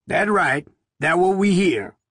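A man doing a gruff, sullen burly protester. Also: slow, dry recording, clean studio sound, exaggerated voice. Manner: gruff, excitable, rises to falsetto.

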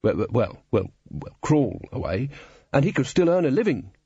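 Man acting nervous guild musician, heavy stammer, hesitant, polite, storybook character, husky, muffled roomy sound. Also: medium pitch, sing-song, normal speed, mellow, voice pushed up. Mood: flustered.

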